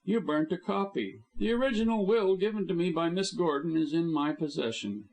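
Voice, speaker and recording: A man reading an audiobook, voicing a calm, measured male doctor. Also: fairly high pitch, voice pushed up, close to an everyday voice, rough recording.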